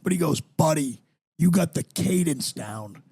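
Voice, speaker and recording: A man in his thirties with a raspy voice, a podcast co-host in casual chat who hypes the show and laughs often. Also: fairly high pitch, animated, measured pace, mellow. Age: 30s.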